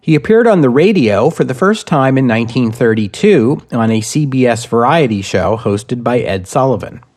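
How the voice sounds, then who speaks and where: A man hosting a history podcast, reading scripted narration in a measured, deliberate way with wry asides. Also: medium pitch, animated, darkish tone. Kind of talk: scripted narration, storytelling lecture.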